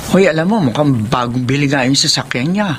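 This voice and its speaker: Chatty older Filipino grandmother, animated pitch. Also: fairly quick, slight background noise. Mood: gossipy. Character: chatty, meddling older mother-in-law and grandmother. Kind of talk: Tagalog radio drama acting.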